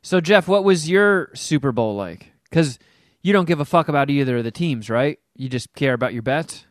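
A man's voice: medium pitch, animated, moderate pace, dry recording, studio quality. A man hosting a casual podcast, asking his co-hosts teasing questions. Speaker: podcast host.

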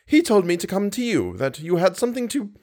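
A man voicing a flustered, apologetic woman in a story, speaking in a hurried manner. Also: sits high, very animated, a little mellow, voice pushed up.

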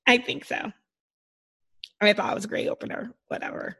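A woman in her thirties with a slightly hoarse voice, co-hosting a podcast in a casual, joking, giggly way. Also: medium pitch, fairly even intonation, normal speed, pushed and bright. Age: 30s. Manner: giddy, giggly. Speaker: podcast co-host. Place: remote video call.

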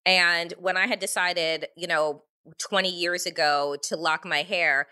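A woman hosting a conversational interview, animated and talking in quick restarts and asides. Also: fairly low pitch, clear, resonant tone. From Jamaican family. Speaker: interview host.

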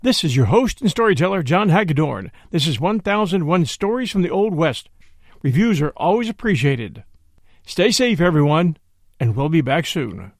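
High-voiced male podcast host. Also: very animated, normal speed, no room sound, studio quality. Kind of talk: scripted podcast sign-off.

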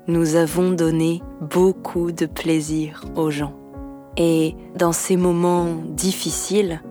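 A woman tells her own story in a very slow, deliberate way. Her voice is notably deep for a woman. Faint music runs behind her. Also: dark tone. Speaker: story guest speaking in French.

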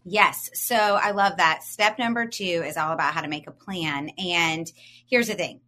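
A woman's voice: on the low side, moderate pace, sharp tone. A woman in her forties co-hosting a podcast, coaching listeners in an upbeat, emphatic way and asking them questions. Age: 40s. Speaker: podcast co-host.

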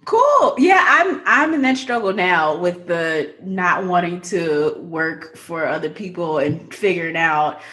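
A woman's voice: on the low side, animated, slow, hardly any pauses, sharp tone. A woman hosting a podcast, reflecting on an episode and then interviewing a guest in a friendly way with some fillers. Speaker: podcast host.

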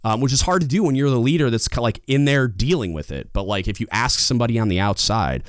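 A man with a loud, booming voice giving casual leadership advice as a podcast host, getting louder when fired up. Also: expressive, quick pace, no echo, studio quality. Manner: gets louder when fired up, rambling restarts.

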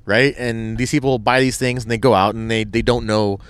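A man's voice: mid-range voice, flat delivery, smooth. Agreeable man chiming in. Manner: agreeable, chimes in with quick affirmations. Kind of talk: casual chat.

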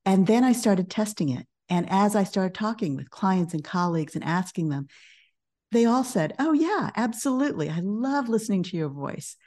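A middle-aged woman speaking as a podcast guest, a business coach who talks in a calm, measured way. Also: mid-range voice. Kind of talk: podcast interview.

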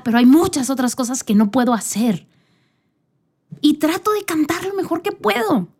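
A woman podcast host giving a casual, personal faith talk, conversational and earnest, speaking directly to the listener. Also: on the high side. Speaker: podcast host and church singer.

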